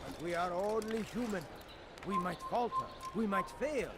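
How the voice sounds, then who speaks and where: An actor playing a devout, zealous street preacher in a video game, declaiming in a solemn, preachy way. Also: male voice, high-pitched, animated, normal speed, heavy background noise. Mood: fervent, righteous. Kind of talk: oratory in a video game cutscene.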